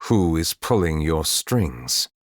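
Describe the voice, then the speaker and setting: A man voicing a calm, formal gentleman of high authority in a video game, speaking in measured phrases. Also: no room sound, clean studio sound, heavily acted. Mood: stern, controlled.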